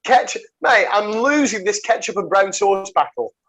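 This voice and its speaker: A man hosting a casual podcast over a video call, jokey and quick to laugh, calling his guest mate. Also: sits high, lively intonation, fairly bright tone, husky. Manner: jokey, laughs often, calls people mate. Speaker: podcast host.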